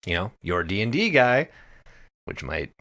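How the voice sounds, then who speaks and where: A man's voice: lowish voice, big pitch swings, a little slow, sharp tone. A man co-hosting a podcast, telling stories casually in an emphatic way with plenty of swearing. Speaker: podcast co-host.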